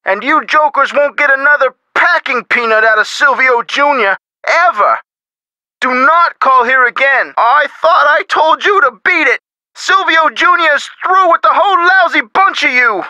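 Game role: irritable, sarcastic small-business boss, extremely sharp, clean, high voice. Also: male voice, moderate pace, dry recording, dull audio, full character voice. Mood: scornful, angry. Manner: sarcastic, belligerent. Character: brash, irritable male business owner, scornful and sarcastic. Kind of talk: video game character phone-call acting.

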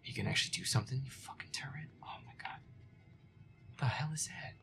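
A man's voice: medium pitch, fairly quick, creaky, lightly acted. Feisty, muttering boyfriend, played by a man, often whispering. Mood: grumbling, wary. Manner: sarcastic, profane, muttering to himself. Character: feisty, foul-mouthed werewolf boyfriend grumbling through a game. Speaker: gamer playing a scary game. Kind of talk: roleplay audio gameplay commentary, muttering.

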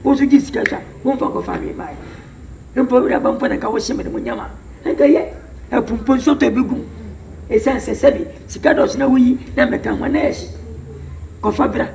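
Creaky, grumbling old Ghanaian man. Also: extremely high pitch, fairly quick, a little mellow, recorded from a distance, heavily acted. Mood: suspicious, insistent. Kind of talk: film drama dialogue in Twi. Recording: echoey room with faint noise.

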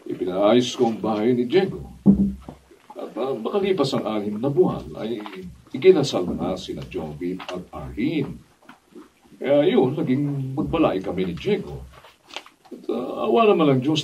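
A man's voice: mid-range voice, big pitch swings, normal speed, a little mellow, lightly acted. A middle-aged Filipino man acting a blunt, protective father in a radio drama. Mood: reflective. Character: blunt, protective father, later conciliatory, narrating his story. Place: indoor radio drama recording studio. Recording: distant camera mic, dull, noisy studio.

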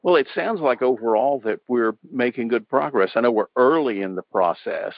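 A man's voice: medium pitch, animated, normal speed, no room sound. A man hosting a church radio interview, asking questions in a plain conversational way. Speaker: radio host. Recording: dull lo-fi sound.

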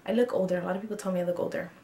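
A woman's voice: fairly low pitch, steady tone, racing, roomy sound, noisy background. Chatty twenties woman vlogging in a bedroom. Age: 20s. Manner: chatty, frank, with frequent ums and likes. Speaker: beauty vlogger. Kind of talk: casual get-to-know-me chat to camera. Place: small bedroom, indoors.

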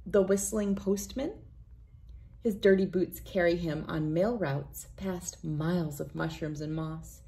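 A woman in her thirties reading a children's picture book aloud in a deliberate, expressive storytelling manner. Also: mid-range voice, vocal fry. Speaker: read-aloud host. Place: indoors, wood-panelled room with large windows.

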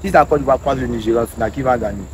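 A man's voice: mid-range voice, racing, low-quality recording. A man, asking questions as a street interviewer. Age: 20s. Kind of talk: vox pop questioning. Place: outdoors on a roadside. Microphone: handheld microphone. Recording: outdoor street recording with clear traffic noise. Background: traffic and street noise.

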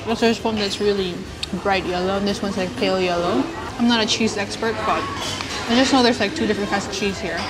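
A woman's voice: mid-range voice, steady tone, fairly quick, loud music behind the voice, low-quality recording. A young woman hosting a casual food vlog, chatting upbeat while tasting dishes in a cafe on a lapel mic. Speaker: food vlogger host. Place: small cafe indoors and street outdoors.

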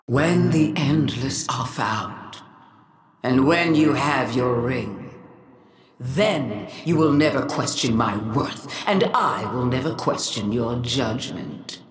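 A woman's voice: fairly low pitch, creaky, roomy sound, exaggerated voice. A woman playing a vengeful, righteous alien herald in a video game, grandiose, solemn and menacing in her delivery. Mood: grave, measured. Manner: grandiose, solemn, deliberate, theatrical. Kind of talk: video game villain voice lines.

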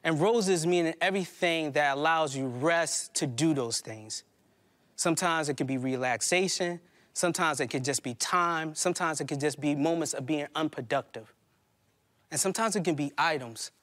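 A middle-aged man delivers an autobiographical monologue live on stage in a halting, conversational way. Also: fairly high pitch, fairly bright tone. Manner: conversational, halting, prone to chuckling. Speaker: poet and storyteller on stage. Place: indoor community hall stage before a small audience.

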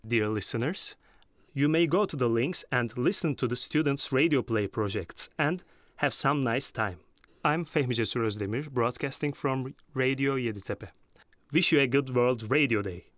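A man's voice: medium pitch, moderate pace, a bit bright, dry recording. A man with a Turkish accent, presenting formally and politely from a script as a radio host.

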